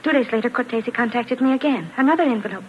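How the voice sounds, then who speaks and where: Actress as frightened foreign immigrant woman, high-pitched and formal, dull vintage radio drama sound. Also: fast talker, lightly acted. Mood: earnest recounting. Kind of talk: 1950s radio drama acting. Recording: dull, faintly noisy vintage radio recording.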